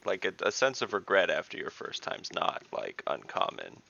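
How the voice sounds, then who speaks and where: A man's voice: low-pitched, wildly swooping pitch, normal speed, gravelly, sharp tone, husky, muffled recording. A man playing an online tabletop roleplaying game, chatting casually in a teasing, joking way. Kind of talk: casual playful in-game chat.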